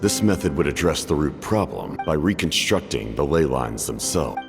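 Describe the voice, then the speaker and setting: A grave, proud captain from a fantasy video game, with an extremely low voice and gravelly fry. His speech is formal and stern, yet swings noticeably in pitch, in a long reverb with music behind. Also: very animated, moderate pace, exaggerated voice. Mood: guarded, measured. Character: grave, proud male Fatui captain, commanding and guarded.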